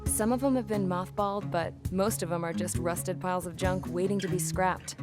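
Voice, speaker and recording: A woman's voice: on the low side, fairly even intonation, moderate pace, over loud music, lightly acted. A woman playing a plain-spoken, gutsy young pilot and mechanic, narrating her own story in a scripted game. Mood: wistful, matter-of-fact. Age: young adult.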